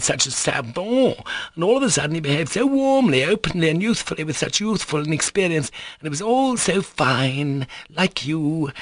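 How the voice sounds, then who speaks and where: A man's voice: fairly high pitch, fairly bright tone, husky, no room sound, pitched above the performer's own voice, exaggerated voice. A man voicing a gushing, flighty middle-aged society mother who exclaims and repeats herself in an audiobook story. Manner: effusive, repetitive, exclaiming.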